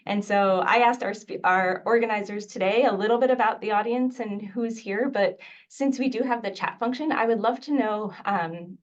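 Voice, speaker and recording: A woman's voice: mid-range voice, moderate pace. Distant woman, earnest webinar talk. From Mexico. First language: Spanish. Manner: earnest, conversational, many fillers. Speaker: infectious disease physician and mentor. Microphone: video call microphone. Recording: dull, distant video call audio.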